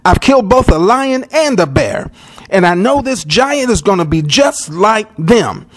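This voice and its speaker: Man acting a teenage shepherd boy, high voice, lively swings, earnest, defiant, brave, storybook character. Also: measured pace. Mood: proud, determined.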